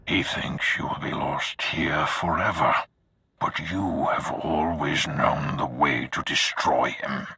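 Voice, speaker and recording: An actor playing a grave, vengeful spirit in a video game, with a raspy, forceful voice and solemn, declamatory delivery. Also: male voice, very deep voice, fairly even intonation, slow pace, dry recording, heavily acted. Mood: grave warning. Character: grave, vengeful male spirit urging destruction of a tyrant. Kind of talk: video game character acting.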